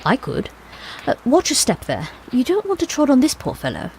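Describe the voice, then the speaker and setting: Actress as whimsical time-traveller, higher female voice, very animated, wry, observant, audio drama, faint rainy noise. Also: moderate pace, heavily acted, rough recording. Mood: alert, wry.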